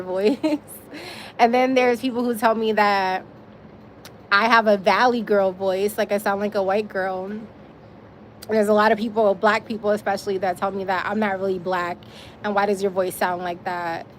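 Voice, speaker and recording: A woman's voice: medium pitch, slight background noise. A woman hosting a casual live stream outdoors, chatting straight to her viewers with frequent little laughs. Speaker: livestream host.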